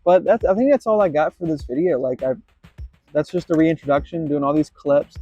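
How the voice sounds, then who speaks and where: A man's voice: a little high, fairly quick, mellow, lo-fi sound, faint music behind. A man in his late twenties filming a casual skateboarding vlog, rambling and self-deprecating, swearing now and then. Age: 20s. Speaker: skateboarding vlogger.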